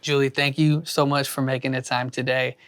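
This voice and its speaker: A man's voice: medium pitch, flat delivery, brisk. A man hosting an interview, asking rambling, earnest questions full of restarts. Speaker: interview host. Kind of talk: casual interview.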